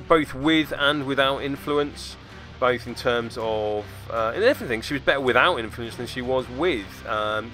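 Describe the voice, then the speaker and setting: A man's voice: mid-range voice, fairly bright tone. A British man hosting a tabletop game recap, talking casually in a wry, self-deprecating, rambling way. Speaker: host of a tabletop game battle report channel. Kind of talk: casual scripted-free game recap commentary.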